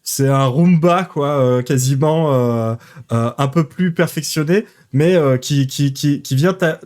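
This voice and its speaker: A man narrating a tabletop role-playing game as game master, pausing often with fillers. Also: a little high, darkish tone. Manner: hesitant, many fillers.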